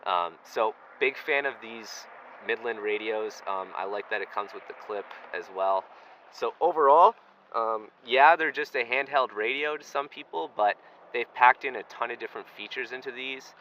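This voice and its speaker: A man's voice: medium pitch, moderate pace, sharp tone, highs cut off, slight background noise. A man giving a casual, rambling, conversational product review, recorded outdoors. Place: outdoors on a lake.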